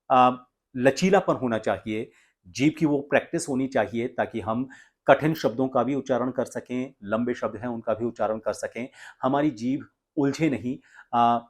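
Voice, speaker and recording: An Indian man working as a voice coach, giving instructions in a deliberate, explanatory way with frequent pauses mid-sentence. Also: medium pitch, darkish tone. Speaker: voice coach and presenter. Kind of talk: instructional tutorial, explaining exercises step by step.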